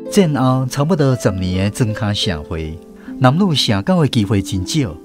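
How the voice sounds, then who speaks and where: A man with a Taiwanese accent narrates a scripted story in a folksy way. His delivery is lively, with wide swings in pitch, over faint plucked-string music. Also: moderate pace. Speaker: narrator of a radio drama.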